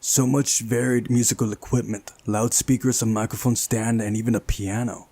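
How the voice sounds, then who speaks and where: A man's voice: mid-range voice, little pitch movement, normal speed, warm tone, dry recording. A man hosting a visual novel playthrough, reading the game's narration aloud and adding casual commentary.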